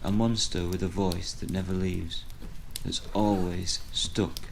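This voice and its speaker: Guy acting as a bitter retired storyteller, deep creaky voice, brooding, audio drama. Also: level tone, low-quality recording. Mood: sombre, grieving. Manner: brooding, deliberate, grave. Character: weary, bitter retired horror storyteller confronting his creation. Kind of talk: audio drama monologue and dialogue. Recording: faint background noise.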